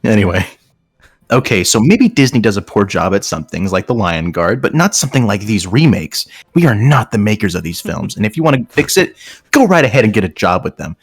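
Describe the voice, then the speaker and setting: A man with a low voice that swings widely in pitch. He reads aloud with mock earnestness and banters casually, often breaking into laughter. The sound is dry and close. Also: sing-song, fairly quick, breathy edge, dry recording. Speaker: online comedy show host reading fanfiction aloud. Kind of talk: casual comedic read-aloud and banter among friends.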